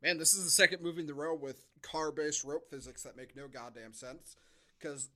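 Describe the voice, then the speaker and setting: A man's voice: fairly high pitch, steady tone, normal speed, pushed and bright. A man co-hosting a podcast, casually reviewing a film with sarcastic asides and frequent swearing. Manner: sarcastic, profane. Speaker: podcast co-host.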